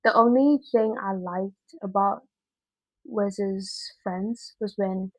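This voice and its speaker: Slow-paced, crisp-voiced woman chatting casually. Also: medium pitch, dry recording, rough recording. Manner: expressive, slangy, emphatic repetition. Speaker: video reviewer. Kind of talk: casual chatty movie review.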